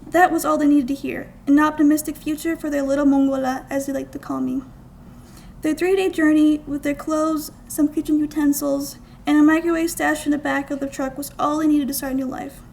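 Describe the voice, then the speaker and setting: A young woman from the United States reading her own writing aloud at a live event indoors, with deliberate, expressive phrasing. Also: high-pitched, vocal fry, a little hiss or hum. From United States, small-town Minnesota. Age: young adult. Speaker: student writer reading own work. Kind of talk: scripted literary reading aloud. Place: college art gallery, indoors.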